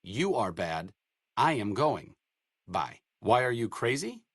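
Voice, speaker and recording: A wry wasteland doctor. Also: male voice, on the low side, big pitch swings, slow, slightly rough, no room sound, heavily acted. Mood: sarcastic. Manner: dry, sarcastic, earnest. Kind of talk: video game voice lines.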